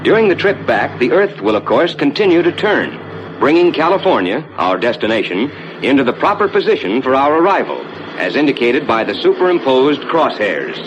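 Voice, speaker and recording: An American man playing a rocket ship captain, narrating a scripted 1950s ride to his passengers in a measured, reassuring, explanatory way. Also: sing-song, close to an everyday voice. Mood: assured, explanatory. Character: assured male rocket ship captain guiding passengers through space. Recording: dull 1950s soundtrack with loud rumbling noise.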